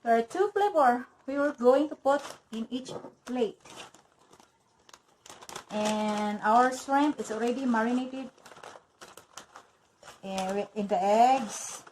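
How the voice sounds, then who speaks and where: A woman's voice: on the high side, takes it slowly, long pauses, darkish tone, slight background noise. A woman from the Philippines with a Filipino accent, chatting her way through a cooking demonstration in a home kitchen and saying okay between steps. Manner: chatty, walks through each step, says okay often. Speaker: cooking vlogger.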